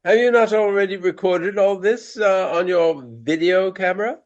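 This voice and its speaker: A man playing a pompous high-voiced Regency dandy. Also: normal speed, lo-fi sound, natural voice. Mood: curious, marveling. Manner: pompous, formal old-fashioned speech. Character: flamboyant vain Regency English stage actor. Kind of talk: radio play acting.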